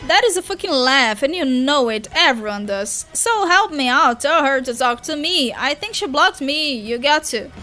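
A woman's voice: high-pitched, lively intonation, normal speed, hardly any pauses, pushed and bright, faint music behind. A woman voicing a brash, sneering young male troll. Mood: pushy, sneering. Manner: profane, pushy, sneering.

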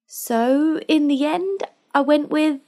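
A woman's voice: high-pitched, slow pace, warm tone. A young woman playing a sardonic narrator of a comic fairytale, wry and knowing in her scripted narration. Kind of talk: scripted storybook-style narration. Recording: music bed under voice-over.

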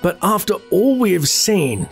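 A man with a slightly husky voice giving scripted narration for a game lore video. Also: sits high, very animated, a little slow, faint music behind.

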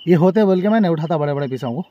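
A man, chatting casually and excitedly to viewers as an outdoor fishing vlogger. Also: a little high, racing, lo-fi sound. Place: outdoors on a lake bank. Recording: outdoor phone recording, faint nature noise.

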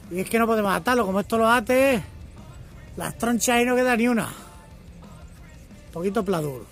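A man's voice: sits high, fairly bright tone. A middle-aged man, a truck driver, chatting casually to a phone camera held at arm's length outdoors. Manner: chatty, greets viewers as artists. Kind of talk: casual vlog chat to camera. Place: outdoors by trucks and roadside, windy. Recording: noisy outdoor phone audio. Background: wind and traffic noise.

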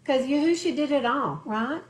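A woman's voice: high voice, expressive, normal speed. A woman leading a home Bible study, stressing words and joking with the group, recorded by a camera in a living room. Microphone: far camera in the room.

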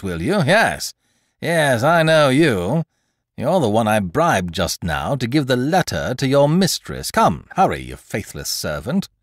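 A man voicing a suave, mocking gentleman thief who talks in a jaunty, patronizing, theatrical way. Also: mid-range voice, dry recording, studio quality, pitched above the performer's own voice, heavily acted.

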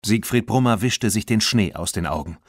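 A man narrating a children's audiobook in an expressive, dramatic storytelling manner. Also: fairly low pitch, normal speed, no echo, studio quality.

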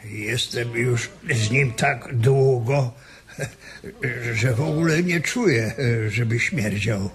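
An actor playing a hesitant old ranch hand in a radio drama, stammering in a gravelly voice. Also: male voice, mid-range voice, slow pace, natural voice. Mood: wistful.

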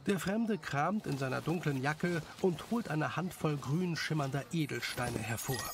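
Male narrator for children's story, fairly high and creaky, clear background noise. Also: animated, measured pace. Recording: clearly noisy background ambience.

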